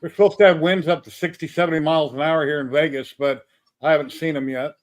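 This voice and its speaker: A man in his fifties chatting casually as a livestream guest and reacting quickly to the others. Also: a little high, fairly even intonation. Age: 50s. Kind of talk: casual chat.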